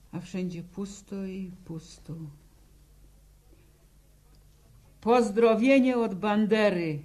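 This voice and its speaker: An actress playing a grieving, stubborn village widow in a 1960s Polish radio drama, speaking plainly and haltingly in a sombre rural manner. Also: female voice, on the low side, darkish tone. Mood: haunted, brooding. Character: grieving peasant war widow, stubborn, haunted.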